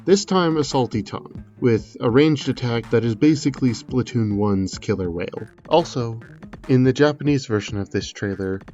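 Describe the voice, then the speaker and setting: A man giving a measured, explanatory voice-over commentary, semi-scripted, as a video creator analysing a game trailer. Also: mid-range voice, darkish tone, lo-fi sound, soft background music.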